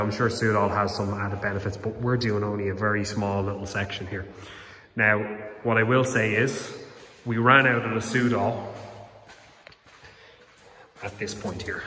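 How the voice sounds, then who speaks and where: Low-voiced Irish man in an echoey room. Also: pushed and bright. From Ireland. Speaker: home renovation vlogger. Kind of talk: casual walk-through narration. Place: empty unfurnished house under renovation, indoors. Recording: echoey bare room, clear background noise.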